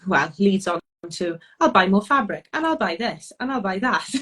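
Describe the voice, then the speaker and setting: A woman's voice: mid-range voice, very animated, measured pace, a bit bright. A woman with a Welsh accent, a guest chatting casually on a video call, talking freely with many ums and frequent laughs. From Wales. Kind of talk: casual chat.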